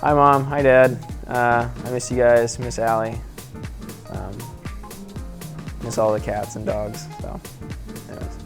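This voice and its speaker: A man's voice: medium pitch, level tone, a little slow, long pauses, over music. A young man from Idaho, a college swimmer, answering interview questions casually. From Idaho, United States. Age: college freshman, about 18.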